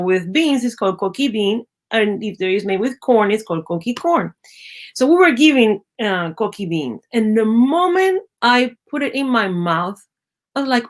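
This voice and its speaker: Lively Venezuelan woman telling stories. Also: fairly high pitch. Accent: Venezuelan Spanish. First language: Spanish. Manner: lively storyteller who acts out quoted voices and often restarts phrases. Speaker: guest, biologist. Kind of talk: conversational storytelling interview.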